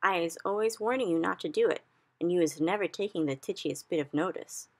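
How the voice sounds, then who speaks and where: A woman's voice: on the low side, fairly quick. A woman voicing a big kindly male giant who talks in cheerful, mangled grammar. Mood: earnest. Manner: folksy, ungrammatical, exclamatory.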